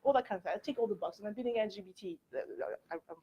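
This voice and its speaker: A woman's voice: mid-range voice, very fast, dark tone, slightly rough. A young adult woman from France with a French accent, giving a candid, informal talk to students, full of "like" and "actually". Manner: chatty, candid, filler-heavy with frequent "like" and "actually". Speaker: workshop speaker. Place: university lecture room.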